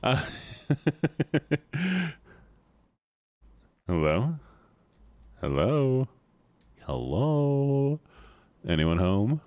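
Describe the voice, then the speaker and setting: A young man with a deep voice chatting casually with his stream audience, goofy and playful and often laughing. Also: sing-song, very slow, long pauses, a little mellow, no room sound. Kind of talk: casual livestream chat.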